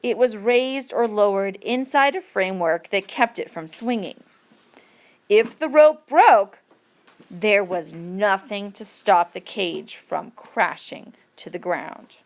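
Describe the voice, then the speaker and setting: A woman reading an educational passage aloud as a teacher, with deliberate, measured phrasing for learners. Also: mid-range voice. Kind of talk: read-aloud educational narration.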